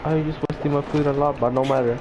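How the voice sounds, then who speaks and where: A man's voice: mid-range voice, fairly even intonation, quick pace, poor audio. A man giving casual gameplay commentary, reacting in short slangy exclamations. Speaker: gamer recording gameplay. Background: loud video game music.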